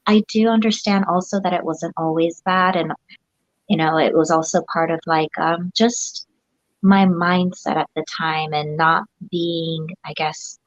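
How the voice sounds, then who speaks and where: A woman whose first language is Japanese, speaking low and soft-spoken as a podcast guest. She reflects hesitantly, with many pauses and fillers. The sound is dry and slightly dull. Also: fairly even intonation, dry recording. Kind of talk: casual interview.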